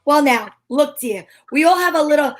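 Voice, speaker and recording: A woman's voice: high-pitched, lively intonation, moderate pace. A woman playing a breezy, wisecracking woman detective who narrates her case in an amateur old-time radio drama, wry and slangy. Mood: teasing.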